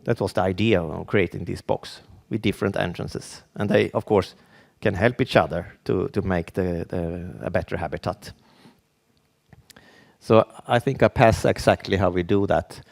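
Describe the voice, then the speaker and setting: A Swedish man with a Swedish accent, giving a conference presentation in English as a second language, halting and full of restarts. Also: deep voice, gravelly, darkish tone. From Sweden. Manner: halting, with frequent restarts and fillers. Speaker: conference speaker.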